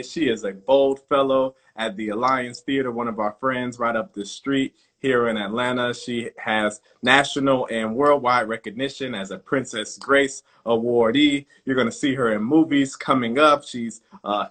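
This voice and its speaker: A man's voice: mid-range voice, a bit bright. A man hosting a live online interview in an informal, effusive, conversational way, addressing his audience as y'all. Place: live video call stream.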